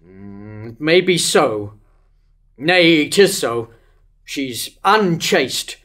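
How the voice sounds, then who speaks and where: A man's voice: a little high, very animated, very slow, fairly bright tone, heavily acted. An older man playing a wavering, lovesick king in a declamatory staged reading of verse tragedy. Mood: lovesick, tormented. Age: older, about 60s to 70s. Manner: declamatory, rhetorical questioning. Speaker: actor reading Herod in a staged play reading. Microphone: stand microphone at a lectern.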